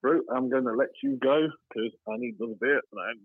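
A man's voice: mid-range voice, fairly quick, slightly rough, no echo. A man calling into a show, casual and agreeable, repeating words as he talks. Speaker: caller. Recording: phone line.

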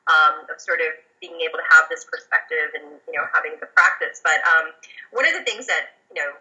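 A woman's voice: deep voice, brisk, bright, forceful tone, lo-fi sound. A German-born woman whose first language is German, reflectively telling her story in a casual podcast conversation with frequent fillers.

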